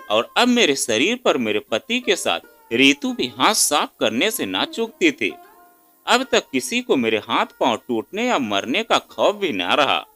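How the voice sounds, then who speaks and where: A man's voice: a little high, sharp tone. A man telling an emotional moral story in the first person with a dramatic, sorrowful delivery. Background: faint instrumental music.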